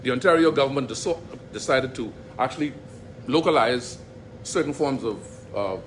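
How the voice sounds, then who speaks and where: A man's voice: mid-range voice, expressive, normal speed, a little hiss or hum. A man from the audience questioning a speaker at a public forum, insistent, repeating himself with many restarts. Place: public meeting hall.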